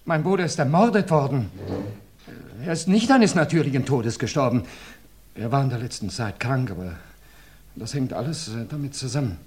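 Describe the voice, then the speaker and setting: A man's voice: medium pitch, expressive, normal speed, darkish tone, a little hiss or hum, lightly acted. A man playing an anxious, hesitant witness. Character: earnest, anxious man reporting a murder. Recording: old mono radio play recording.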